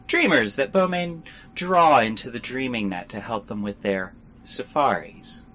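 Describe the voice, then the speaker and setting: A man playing a guarded, businesslike smuggler guide in a fantasy audio drama, brisk and transactional, on muffled audio. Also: mid-range voice, sharp tone. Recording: muffled audio with faint noise.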